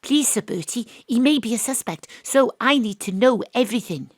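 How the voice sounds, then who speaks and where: An actress playing a wry, brisk female amateur detective who narrates and questions with dry asides, recorded from a home studio. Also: a little high, lively intonation, dry recording. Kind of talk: live remote audio drama acting.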